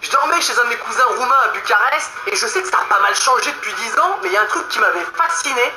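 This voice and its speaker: Young comic vlogger from France, very bright voice, roomy echo; self-mocking, quick delivery. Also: male voice, very high voice, expressive, slight background noise. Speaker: comedy vlogger. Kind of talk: comic monologue with sketches.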